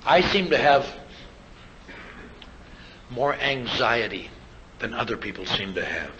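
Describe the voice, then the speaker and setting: An older man giving a plainspoken personal testimony as a speaker, telling anecdotes with wry asides. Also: on the high side. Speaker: speaker at a recovery convention. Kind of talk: personal testimony speech to an audience. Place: live convention talk. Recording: dull, lo-fi live recording, faint noise.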